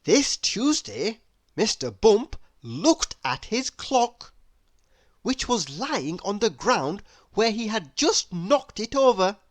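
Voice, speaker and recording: A woman narrating a children's picture book aloud in an expressive, deliberate, theatrical storytelling manner. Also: medium pitch, dry recording. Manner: deliberate, theatrical, with playful exclamations.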